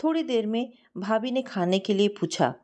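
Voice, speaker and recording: A woman narrating a scripted story in an expressive, sentimental manner. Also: medium pitch, moderate pace.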